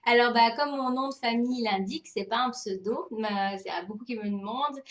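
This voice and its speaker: A woman's voice: fairly high pitch, a little fast. French woman in an echoey room. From France. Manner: open, thoughtful, with many fillers. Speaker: interview guest. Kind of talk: personal life story in conversation.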